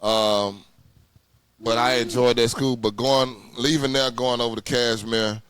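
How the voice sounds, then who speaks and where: Dry-sounding man, medium pitch. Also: steady tone, measured pace, fairly bright tone, smooth, no room sound. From Houston, Texas. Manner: blunt, profane, repeats phrases for emphasis. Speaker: podcast host. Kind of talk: casual reminiscing chat.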